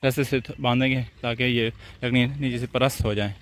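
Chatty village vlogger. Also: male voice, medium pitch, steady tone, brisk, heavy background noise. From Punjab, Pakistan. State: tired, out of breath after field work. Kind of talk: casual chat, talking to viewers. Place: open farm field outdoors. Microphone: phone held at arm's length.

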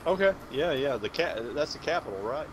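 A man's voice: a little high, expressive, brisk. A drunk man chatting casually with friends in game voice chat. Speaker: gamer in voice chat. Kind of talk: casual chat while gaming. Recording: loud game noise over voice chat.

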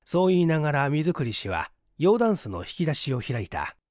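An audiobook narrator reading literary fiction expressively, with measured, careful diction. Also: medium pitch, sing-song, darkish tone, no echo, clean studio sound.

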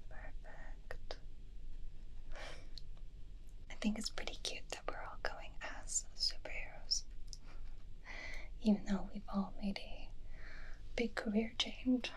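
A woman in her twenties playing a tender, doting superheroine wife in an ASMR roleplay, in a soft, breathy, intimate whisper close to the mic. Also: medium pitch, natural voice, rough recording. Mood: gentle, attentive. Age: 20s. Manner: slow, gentle, intimate, affectionate. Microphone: close binaural microphone.

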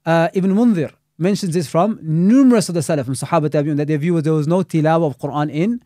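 A man, an Islamic scholar and teacher, talks at a quick clip in a relaxed, critical discussion. His voice sits a little high but sounds mellow and dark. The sound is dry and close, and he scatters Arabic religious terms as he goes. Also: no room sound. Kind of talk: casual podcast discussion.

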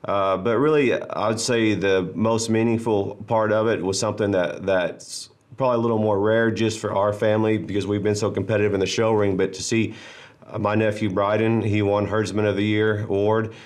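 A man in his thirties, a rancher, speaking in a proud, measured way with hesitant pauses. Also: lowish voice, flat delivery. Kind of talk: interview.

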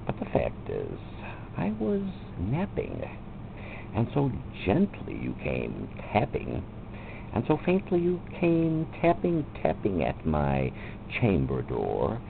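A middle-aged man with a gravelly voice giving a theatrical, halting poetry reading with drawn-out phrases and emphatic swells. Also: deep voice, wildly swooping pitch. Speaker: amateur poetry reader. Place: indoors at home. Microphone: camera mic very close to the face. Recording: muffled lo-fi webcam audio, loud background noise.

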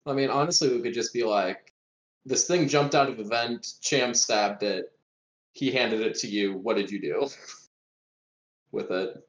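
A man's voice: mid-range voice, measured pace, long pauses, dull audio. A man chatting casually as a player in a tabletop game. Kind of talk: casual chat during a game. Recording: roomy, echoey voice-call mic.

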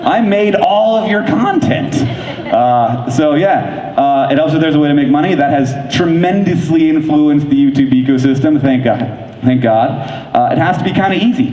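Male keynote speaker, fairly high voice, rapid nerdy enthusiasm, heard from far back in an echoey hall with faint crowd hum. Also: mellow, muffled recording. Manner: fast, enthusiastic, filler-heavy with frequent likes. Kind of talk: conference keynote talk. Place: large convention hall stage. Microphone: handheld microphone.

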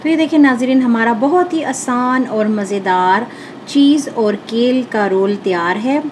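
Calm woman home cooking host, fairly high voice. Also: measured pace, slight background noise. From Pakistan. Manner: calm, explanatory, addresses viewers directly. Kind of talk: step-by-step cooking instruction. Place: home kitchen.

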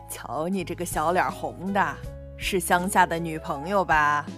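A woman's voice: fairly low pitch, takes it slowly, fairly bright tone, soft background music. A woman acting a kindly, nosy old granny in an audio drama, inquisitive and teasing. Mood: fond, teasing.

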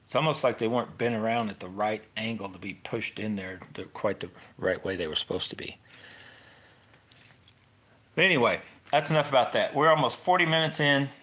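A man's voice: fairly low pitch, brisk, sharp tone. Rambling middle-aged American man, casual vlogger. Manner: rambling, informal, self-interrupting. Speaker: fishing video host. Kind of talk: casual unscripted fishing tutorial.

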